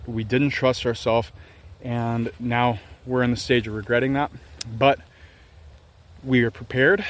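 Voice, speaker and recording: A man in his thirties, an organic farmer, chatting candidly in a rambling, self-deprecating way while filming himself outdoors on his farm. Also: mid-range voice. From Louisiana, US. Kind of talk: casual farm vlog. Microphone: handheld camera at arm's length.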